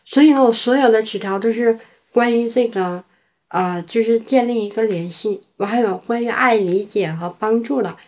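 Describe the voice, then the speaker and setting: A woman's voice: a little high, moderate pace, darkish tone. A woman from China, a performance artist, giving spontaneous interview answers, mixing in English words and rephrasing as she goes.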